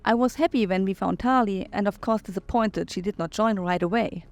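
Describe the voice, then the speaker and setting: A woman's voice: medium pitch, fairly quick. A woman giving her opinions in commentary on a video game playthrough.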